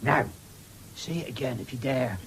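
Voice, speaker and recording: An actor playing a rough, blustering farmer father in a radio drama, taunting in a rural English accent. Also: medium pitch, normal speed, natural voice. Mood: boisterous, amused. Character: coarse, bullying, boisterous farmer father. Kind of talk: BBC radio drama acting. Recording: faintly noisy old radio broadcast.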